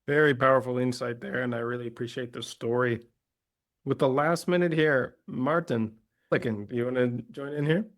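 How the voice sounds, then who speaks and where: A man hosting a podcast, moderating the panel, thanking guests and wrapping up smoothly. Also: mid-range voice, steady tone, normal speed. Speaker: podcast host.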